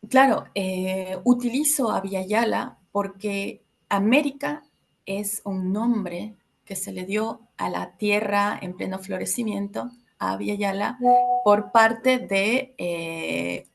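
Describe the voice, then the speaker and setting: Woman from Ecuador, 30s, workshop facilitator; mid pitch, gravelly edge, thoughtful and halting delivery. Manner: reflective, many filler hesitations. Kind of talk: educational talk to a group.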